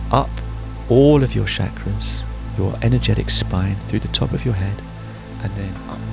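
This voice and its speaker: Man, very low gravelly voice, meditation guide, soothing, unhurried phrasing with pauses, music behind. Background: background music.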